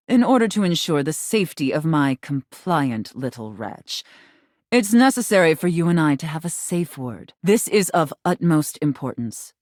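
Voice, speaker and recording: A haughty, seductive mistress from a video game, speaks in a low, slow voice with sweeping intonation. She is commanding and condescending, teasing as she gives orders. The recording is close and dry. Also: no room sound. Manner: haughty, sultry, condescending, drawn-out deliberate phrasing. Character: imperious, teasing dominatrix, a living safe in human guise. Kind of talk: video game character acting.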